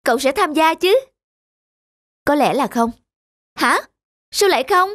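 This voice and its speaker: Woman voicing a modest gentle young actress. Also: high voice, animated, a little slow, no echo, studio quality. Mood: curious. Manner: gentle, hesitant. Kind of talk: animated series dubbing. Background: background music.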